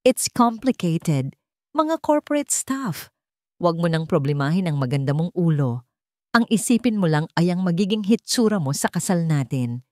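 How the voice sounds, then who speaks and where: A woman voicing a smooth-talking, boastful rich man who hides his desperation from his fiancée. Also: on the low side, big pitch swings, normal speed, a little mellow, no room sound, studio quality. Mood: evasive, placating.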